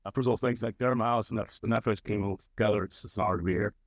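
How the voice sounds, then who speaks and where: A young man speaking as a panelist who tells a personal story in a halting way, with many fillers and restarts. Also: lowish voice, fairly even intonation, no echo, studio quality.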